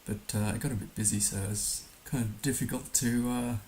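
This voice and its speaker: A hoarse man musing hesitantly aloud as he gives casual live commentary on a game he is streaming. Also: mid-range voice, steady tone, fairly quick, darkish tone. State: hoarse, recovering from being under the weather. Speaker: livestream host playing a geography guessing game. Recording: noticeable background hiss.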